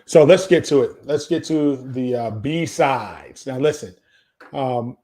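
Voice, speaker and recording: A man's voice: medium pitch, slow, warm tone. A man hosting a podcast in casual, slangy chat, often repeating phrases for emphasis. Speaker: podcast host.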